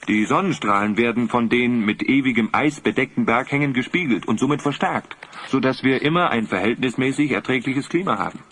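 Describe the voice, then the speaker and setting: A man's voice: medium pitch, fairly quick, fairly bright tone. A man playing a courtly guide in a fantasy audio drama, explaining things formally. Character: informative courtly guide to an ice palace.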